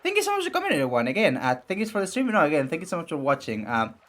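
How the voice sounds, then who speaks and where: A young man with a raspy voice streaming casually, rambling with many fillers and restarts. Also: a little high, wildly swooping pitch, rapid-fire, fairly bright tone. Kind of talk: casual live-stream chat and reading game text.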